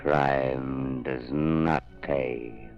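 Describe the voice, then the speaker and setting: Sinister mocking avenger, bottomless gravelly voice, extremely slow, ominous, 1930s radio drama, music behind. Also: male voice, very deep voice, fairly even intonation, exaggerated voice. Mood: gloating menace. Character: sinister laughing male vigilante, mocking and menacing. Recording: muffled vintage radio recording.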